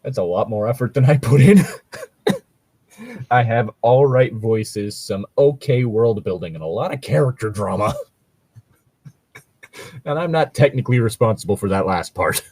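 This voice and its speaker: A man's voice: mid-range voice, expressive, unhurried, long pauses, a little mellow, slightly rough, no echo. A man casually bantering and reading online stories aloud, with a wry, joking, sometimes profane manner. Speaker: co-host reading online tabletop gaming horror stories.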